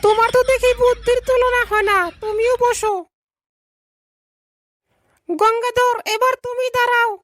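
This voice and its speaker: A woman's voice: very high-pitched, level tone, normal speed, long pauses, a bit bright, no echo. A woman voicing a polite young female schoolteacher in a comedy cartoon, instructing her pupils. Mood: teacherly, questioning. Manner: instructing, addresses pupils in turn.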